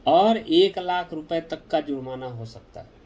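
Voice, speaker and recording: Slow, deliberate male legal explainer. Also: fairly high pitch, animated, darkish tone. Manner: addresses viewers directly, deliberate and explanatory. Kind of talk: informative legal explainer to viewers.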